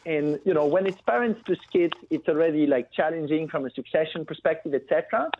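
A man's voice: on the high side, a little fast. A man from Belgium, a guest on a business talk show, speaking over the phone in a casual, fluent way with many fillers. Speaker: guest, family business founder. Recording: tinny phone line with faint noise.

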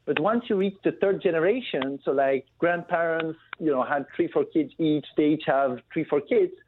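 A man's voice: fairly high pitch, normal speed, a little hiss or hum. Man on a thin phone line, explaining. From Belgium. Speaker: phone guest, family business entrepreneur. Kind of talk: conversational radio interview. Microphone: phone call. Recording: muffled narrow phone line.

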